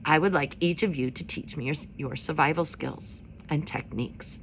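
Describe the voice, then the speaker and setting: A woman voicing a calm, polite female robot who speaks plainly and literally. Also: low voice, normal speed, slight background noise.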